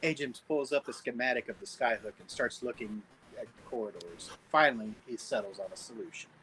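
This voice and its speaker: A man's voice: medium pitch, brisk, pushed and bright, low-quality recording. A man serving as narrator, reading screenplay stage directions at a table read.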